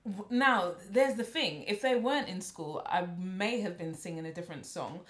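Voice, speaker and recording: A woman co-hosting a casual podcast chat in a loud, animated way, laughing often. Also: lowish voice, normal speed, reverberant. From London, UK. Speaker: podcast co-host.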